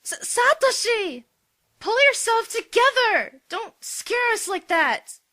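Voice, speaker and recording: A woman playing a bossy, hot-tempered teenage schoolgirl who scolds loudly, in an anime fan dub. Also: very high-pitched, sing-song, slow, exaggerated voice. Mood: alarmed scolding. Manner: scolding, loud, exasperated. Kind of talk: anime fandub acting.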